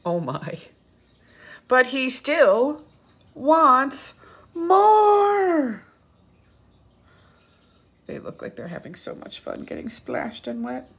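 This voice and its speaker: A woman reading a picture book aloud expressively for young children, with pauses, asides and questions to her listeners. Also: high-pitched, huge pitch swings, fairly bright tone, breathy edge. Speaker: children's story reader and teacher.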